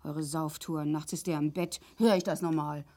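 An actress playing a nagging, put-upon East Berlin wife in middle age, scolding and needling in a grotesque German radio play. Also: female voice, low-pitched, fairly even intonation, normal speed, a little mellow, lightly acted. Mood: reproachful.

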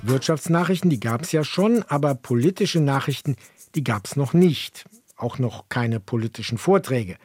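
A man co-hosting a history program, explaining in a measured way. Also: medium pitch, expressive, a little mellow, slightly rough, dry recording, studio quality, soft background music. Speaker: co-host of a history podcast. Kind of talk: explanatory radio history narration.

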